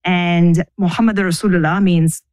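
A middle-aged woman from the United States, talking thoughtfully about her upbringing as an interview guest, pausing and restarting as she thinks. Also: on the low side, fairly even intonation, darkish tone, dry recording, clean studio sound. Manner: thoughtful, measured, restarts mid-sentence. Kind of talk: reflective personal interview.

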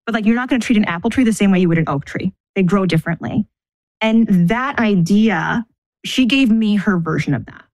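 A middle-aged woman from the United States, talking thoughtfully about her upbringing as an interview guest, pausing and restarting as she thinks. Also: mid-range voice, a little mellow, dry recording, rough recording. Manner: thoughtful, measured, restarts mid-sentence. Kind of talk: reflective personal interview.

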